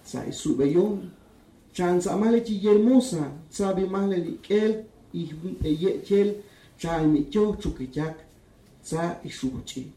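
Man with a dark voice, reading from far off. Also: high voice, slow. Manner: calm, steady reading voice. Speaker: writer reading his own text. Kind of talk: literary reading at a public event. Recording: echoey room, distant mic.

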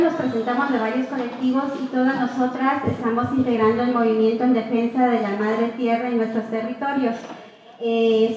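A woman presents in a steady, explanatory way, often pausing on "eh". Her voice sits fairly high. The sound is dull and muffled, with heavy echo from a large room. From Guatemala. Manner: steady and explanatory, frequent "eh" pauses. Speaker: activist speaker on a panel. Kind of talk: public talk presentation.

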